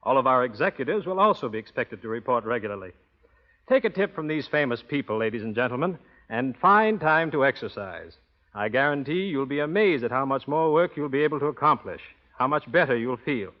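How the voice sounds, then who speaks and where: A man's voice: medium pitch, lively intonation, fairly quick. An American man giving a scripted promotional talk as a guest expert on 1930s radio. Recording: muffled old radio broadcast.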